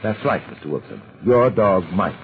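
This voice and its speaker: An actor playing a cool, commanding gentleman adventurer in an old-time radio drama, brisk and polite. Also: fairly low pitch, animated, lightly acted. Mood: composed, dry. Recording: noisy, phone-like old radio recording.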